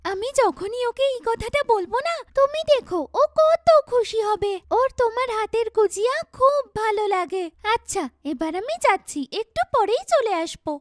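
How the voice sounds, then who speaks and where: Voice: very high-pitched, expressive, normal speed, hardly any pauses, no echo, studio quality. A performer voicing an earnest, chatty young schoolgirl in a children's cartoon, with exaggerated, emphatic childlike delivery. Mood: cheerful.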